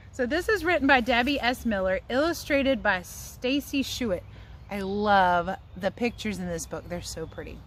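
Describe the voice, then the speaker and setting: A woman with a mid-pitched voice reads to young children as a teacher would. She breaks off for chatty asides and puts questions to her listeners. She is recorded in a yard, with light outdoor noise behind her. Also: a bit bright. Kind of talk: read-aloud lesson for young children.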